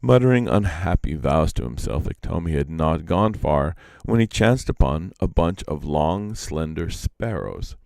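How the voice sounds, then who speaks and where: A man reading folk legends aloud as a storyteller, expressive and theatrical. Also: very deep voice, a little slow, gravelly, hardly any pauses, no echo.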